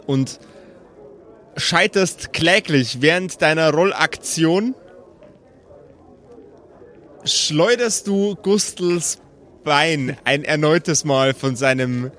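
Higher-pitched man narrating as game master. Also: a bit bright. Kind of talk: improvised tabletop game narration.

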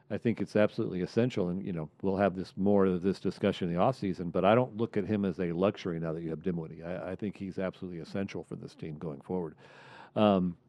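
A man's voice: sits low, a little mellow, dry recording. A man hosting a sports roundtable in a conversational way. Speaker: host of a sports media roundtable.

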